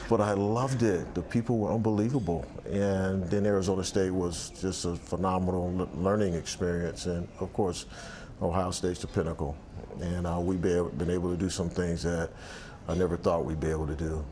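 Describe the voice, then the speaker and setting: Deep-voiced man in his sixties. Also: fairly even intonation, normal speed, mellow, slight background noise. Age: 60s. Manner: reflective, many restarts and fillers. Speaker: athletic director. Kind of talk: sit-down interview. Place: outdoor balcony by the sea.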